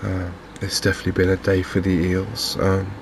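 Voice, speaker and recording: Softly spoken, low-ranged monotone man with creaky voice. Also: noisy background. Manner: unhurried, hesitant, musing to himself. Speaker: gaming video host. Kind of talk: softly spoken ASMR game commentary.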